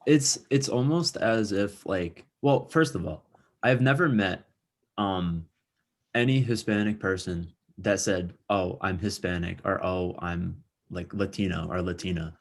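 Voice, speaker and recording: A man's voice: low voice, measured pace. A young man co-hosting a podcast, chatting casually and thinking aloud with frequent fillers and restarts. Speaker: podcast co-host. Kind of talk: casual discussion.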